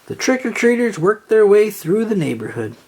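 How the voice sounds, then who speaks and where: A storybook reader narrating aloud for children in an expressive read-aloud style. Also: medium pitch, normal speed, slightly rough.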